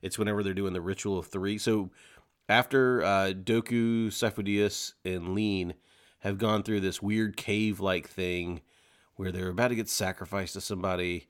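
A man co-hosting a podcast in casual discussion, retelling things in an animated way and acting out quotes. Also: sits low, moderate pace, clear, resonant tone. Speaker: podcast co-host. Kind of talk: casual discussion of a fiction audiobook.

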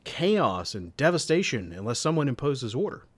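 A man with a mid-pitched, highly animated voice co-hosts a podcast. He argues his points casually but emphatically, in a dry recording. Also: normal speed, breathy edge, dry recording. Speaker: podcast co-host. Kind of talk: casual debate and discussion.

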